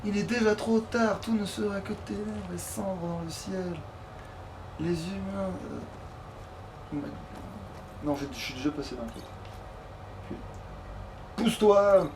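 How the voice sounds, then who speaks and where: A man's voice: high-pitched, a little slow, long pauses, recorded from a distance. A man giving casual, grumbling, sweary commentary while playing a video game. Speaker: let's player. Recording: clear background noise, game ambience.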